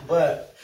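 A man in his thirties, a guest chatting casually on a podcast, upbeat and animated, acting out quoted voices and dropping in Spanish words. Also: high voice, noisy background. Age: 30s. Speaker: podcast guest, social media influencer. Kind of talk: casual chat. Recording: roomy, mic at a distance.

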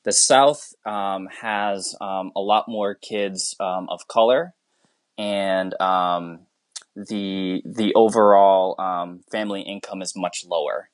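A man, a teacher from the United States, talking as a guest in a relaxed interview. He is earnest and rambling, restarting his sentences often, and his voice sits fairly low. The sound is dry and close. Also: takes it slowly, no room sound. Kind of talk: casual conversational interview.

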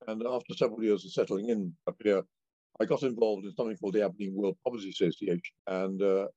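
Older man, low husky voice, reflective. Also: a little mellow, dry recording, highs cut off. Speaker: retired philosophy lecturer, branch officer. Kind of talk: roundtable introduction.

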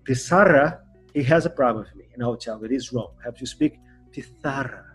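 Brazilian guy hosting a podcast, portuguese accent, a bit husky, gets really animated, faint guitar behind. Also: brisk. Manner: animated, jumps up in pitch and loudness when excited. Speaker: podcast host. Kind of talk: casual interview chat.

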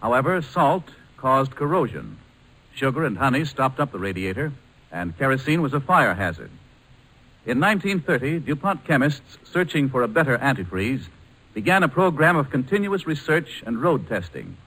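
Lively American male commercial announcer. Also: medium pitch, normal speed, breathy edge, rough recording. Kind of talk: 1940s scripted radio advertisement.

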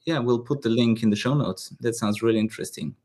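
Man, mid-range pitch, German accent, native German speaker, hesitant, word-searching, repeated sentence starts, casual podcast host. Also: fast talker, darkish tone. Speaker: podcast host, dog trainer. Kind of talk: casual interview.

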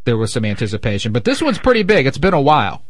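Stuffed-up, animated radio host man. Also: mid-range voice, fast, no echo. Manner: animated, jokey. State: stuffed up nose. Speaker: radio sports show host. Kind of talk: casual sports radio chat. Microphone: studio microphone.

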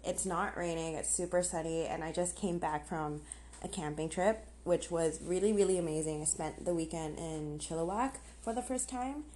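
A young woman hosts a casual solo podcast in a voice that sits a little low. She talks candidly and informally, as if chatting to friends. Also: moderate pace, dark tone, poor audio. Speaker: podcast host. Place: outdoors on a patio.

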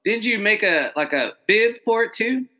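Excitable slangy man hosting. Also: sits high, moderate pace. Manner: excitable, slangy, says dude a lot. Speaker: podcast host. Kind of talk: casual interview chat.

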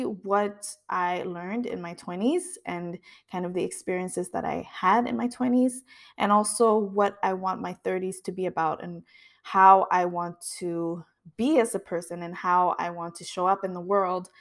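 A woman's voice: lowish voice, fairly even intonation, measured pace, gravelly. A woman of about thirty hosting a podcast, speaking in an earnest, introspective monologue. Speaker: podcast host. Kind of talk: reflective personal monologue.

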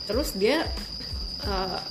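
A woman's voice: medium pitch, extremely slow. A woman in her thirties from Jakarta with a strong Betawi accent, telling stories in a casual chat and laughing often. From Jakarta, Indonesia. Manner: animated storyteller, laughs often, slangy. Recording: echoey room with background music.

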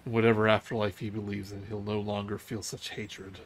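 Man doing a grave mighty lady dragon, low and measured. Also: level tone, low-quality recording. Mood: solemn. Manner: measured, solemn, gracious. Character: powerful female dragon leader, calm and grave.

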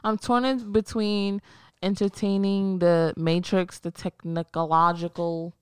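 A woman guest in a casual podcast chat, talking in a relaxed, reflective and frank way. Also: medium pitch, takes it slowly, clear, resonant tone, dry recording.

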